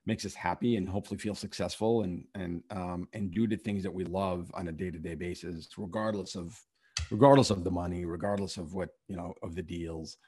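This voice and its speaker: Brief: low-pitched man from Syria, interview guest, stumbling and earnest. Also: fairly even intonation, a little mellow, husky. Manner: halting, many restarts and fillers. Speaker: podcast host and real estate investor. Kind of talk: conversational interview.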